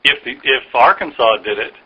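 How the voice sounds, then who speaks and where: A man explaining things patiently and haltingly in a phone conversation, like an official answering a caller's questions. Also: medium pitch, lively intonation, slightly rough. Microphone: telephone. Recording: dull lo-fi recorded phone call.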